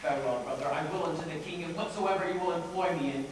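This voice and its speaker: A young man on stage playing a bitter, deformed, scheming villain duke in declamatory Shakespearean style with deliberate pauses. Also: fairly high pitch, natural voice. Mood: falsely sincere. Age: young adult. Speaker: high school stage actor. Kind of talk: theatrical Shakespearean stage acting. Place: high school stage performance, recorded from afar. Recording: echoey theatre hall, distant microphone, faint noise.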